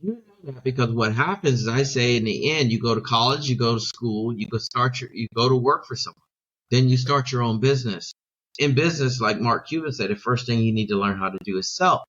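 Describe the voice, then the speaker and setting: Emphatic middle-aged sales coach, muffled sound. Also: male voice, medium pitch, fairly quick, fairly bright tone. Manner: emphatic, repetitive, rhetorical questions. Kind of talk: podcast interview.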